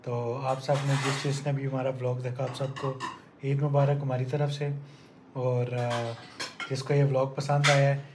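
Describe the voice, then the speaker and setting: A man's voice: mid-range voice, noisy background. A young man chatting casually and playfully as he hosts a family vlog. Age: young adult. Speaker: vlogger, son filming the family. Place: home dining room. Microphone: handheld phone. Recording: echoey room, faint dish clatter.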